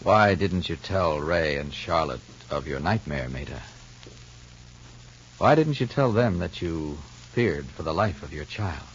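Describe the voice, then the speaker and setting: A man's voice: extremely low pitch, expressive, a little slow, slight background noise. A man announcing a 1950s radio serial with emphatic, rhetorical dramatic narration and sales delivery. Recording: dull vintage radio broadcast.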